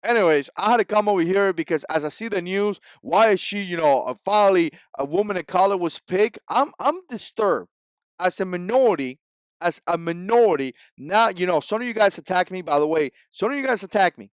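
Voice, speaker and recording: A man's voice: high-pitched, animated, a little fast, clear, resonant tone, dry recording, studio quality. A man in his forties with a Cuban Spanish accent, Spanish his first language, giving an emphatic, repetitive opinion vlog. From Cuba. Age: 40s. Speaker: vlogger.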